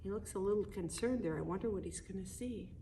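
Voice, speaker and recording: A woman reading a picture book aloud in an expressive, animated way, adding chatty asides for young listeners. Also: mid-range voice, quick pace. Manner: animated, emphatic storytelling with conversational commentary. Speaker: storybook reader. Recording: distant mic with audible background noise.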